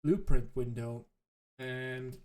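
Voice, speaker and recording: A man whose native language is German, thinking aloud in halting, self-correcting commentary as he plays a game. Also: mid-range voice, steady tone, mellow. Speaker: let's play host. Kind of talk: casual gameplay commentary.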